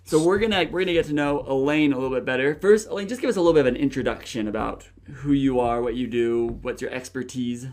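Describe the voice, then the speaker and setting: A man's voice: medium pitch, fast, hardly any pauses, darkish tone. A man co-hosting a casual podcast, joking and laughing as he talks. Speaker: co-host.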